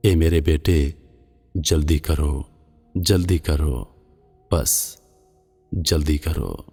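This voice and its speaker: Man voicing a solemn, very deep dying father, cavernous reverb. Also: extremely slow, creaky, mellow, slightly rough, heavily acted. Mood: grave, urgent warning. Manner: grave, admonishing. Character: solemn dying father counselling his son, later a dream spirit. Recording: long cavernous reverb, music underneath.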